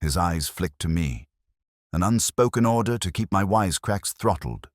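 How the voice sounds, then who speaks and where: A man's voice: low voice, expressive, normal speed, no echo, studio quality. A man narrating a noir detective story in a wry, hard-boiled way. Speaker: audiobook narrator. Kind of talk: scripted first-person noir detective narration.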